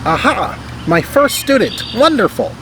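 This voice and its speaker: A man's voice: very high-pitched, very animated, measured pace, noisy background, pitched above the performer's own voice, heavily acted. A man voicing a small, cheerful, bossy female bird teacher in a storybook, chirpy and full of exclamation. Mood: delighted.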